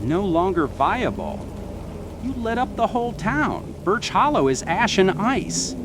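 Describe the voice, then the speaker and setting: A weary field soldier played by a man in a radio drama, sardonic and tense. The voice sits fairly high and swings widely in pitch, over a clearly noisy transmission. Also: big pitch swings, a little slow, heavily acted. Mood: accusing.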